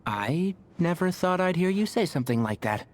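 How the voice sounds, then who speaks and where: A man's voice: on the high side, expressive, moderate pace, close to an everyday voice. A man voicing a sensible young botanist in a video game, dry and matter-of-fact, with an inquisitive streak. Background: soft game music.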